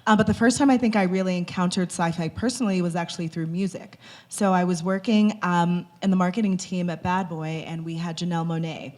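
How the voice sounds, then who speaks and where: A woman on a live panel, speaking in a relaxed, conversational way with frequent "like" and "you know". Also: on the low side, brisk. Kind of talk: panel discussion.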